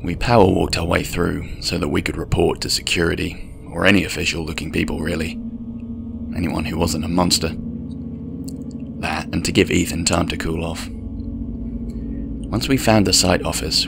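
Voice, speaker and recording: A man's voice: low-pitched, flat delivery, normal speed, gravelly, music in the background. A man reading a scripted first-person horror story as its storyteller.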